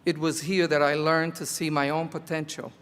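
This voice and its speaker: An older man whose first language is Portuguese, a teacher near the end of his career, giving a slow, earnest commencement speech. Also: a little high, level tone, a bit bright, clear, resonant tone. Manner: slow, deliberate, earnest delivery. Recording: echoey hall.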